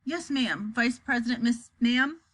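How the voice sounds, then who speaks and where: Woman as a nervous, deferential interpreter, sharp-voiced. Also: a little high, a little slow. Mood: nervous, insistent. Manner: deferential, shaky, insistent. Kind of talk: comic children's storybook character voice.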